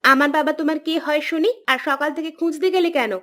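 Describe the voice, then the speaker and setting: A woman voicing a nagging, teasing young village wife with a sharp tongue, in a folk-tale cartoon. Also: high voice, fairly even intonation, fast. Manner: scolding, teasing. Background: background music.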